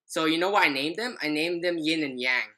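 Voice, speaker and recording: A woman's voice: low voice, brisk, bright, forceful tone. A young adult woman telling a personal story in casual podcast chat, rambling and often stumbling over her words.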